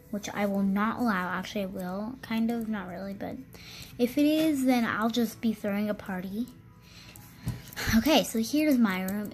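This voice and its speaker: A woman's voice: mid-range voice, a little mellow. Chatty young girl giving a house tour. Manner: rambling, chatty, many restarts and fillers. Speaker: channel host. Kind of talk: casual game house tour.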